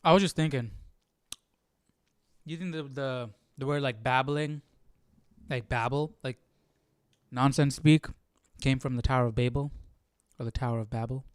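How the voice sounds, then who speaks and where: A man's voice: medium pitch, normal speed, long pauses, clean tone, no echo, clean studio sound. A man hosting a livestream with casual commentary, thinking aloud in an informal, musing way. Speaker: livestream host.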